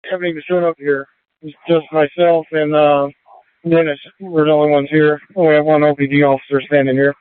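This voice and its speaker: Higher-voiced man over tinny police radio. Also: no echo. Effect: police two-way radio. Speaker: airport event command officer.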